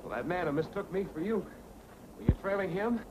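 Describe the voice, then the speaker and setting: A man plays the hero's eager American brother in a 1940s serial. His voice is high for a man and keenly questioning, on a noisy old film soundtrack. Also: a little fast, lightly acted. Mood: curious. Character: astonished, eager American twin brother of the hero.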